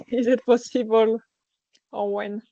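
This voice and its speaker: A woman's voice: a little high, steady tone, slow, vocal fry, dark tone, dry recording, dull audio. A young woman with a French accent, a guest in a casual interview, speaking hesitantly and searching for words in her second language. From France. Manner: hesitant, searching for words, many false starts. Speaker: guest athlete.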